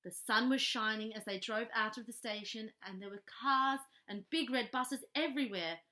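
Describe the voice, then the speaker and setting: A woman narrates a children's storybook in a high, bright voice. Her reading is expressive and animated, with small playful asides to the listener. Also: normal speed. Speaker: storybook reader.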